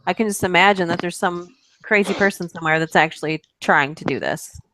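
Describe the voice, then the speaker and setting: A woman's voice: lowish voice, a little fast, background noise. A woman chatting as a guest on a stream, earnest and thoughtful. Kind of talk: casual group chat on a stream.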